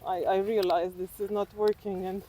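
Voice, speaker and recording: Female, middle-aged. Informal interview guest, talking on foot outdoors with clear background noise. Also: medium pitch, little pitch movement, normal speed, mellow. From Slovenia. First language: Slovenian. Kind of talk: casual reflective chat while walking. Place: outdoors on a walking trail.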